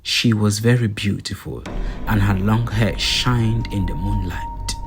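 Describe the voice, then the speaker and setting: Man, low creaky voice, very slow scripted story narration, measured phrasing, music underneath. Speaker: folktale narrator.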